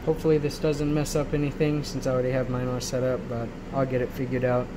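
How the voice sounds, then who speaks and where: A man giving a casual, rambling software tutorial, often checking in as he goes, recorded at home on a handheld camera. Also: mid-range voice, fast talker. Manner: rambling, checks in with frequent "right?". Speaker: tutorial host. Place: indoors in a room at home. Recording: noisy amateur home recording. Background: clear steady background noise.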